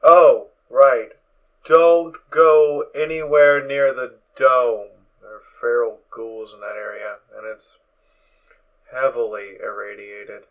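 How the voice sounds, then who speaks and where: A man playing a weary, gruff pony guard corporal in an audio drama, curt and official with a mildly annoyed air. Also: medium pitch, steady tone, takes it slowly, phone-quality sound, close to an everyday voice. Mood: dry, warning.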